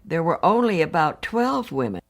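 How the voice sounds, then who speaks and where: An actress playing a married woman survivor, giving composed, earnest testimony in a measured, formal, precise way. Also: fairly low pitch, sing-song. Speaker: actor reading witness testimony. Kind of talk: dramatised reading of hearing testimony.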